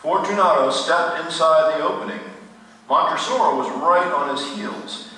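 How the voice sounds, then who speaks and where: An actor playing a grave narrator, reading a macabre tale as scripted drama in a radio play. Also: male voice, on the high side, a little slow, lightly acted. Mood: suspenseful. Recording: echoey hall, far from mic, faint noise.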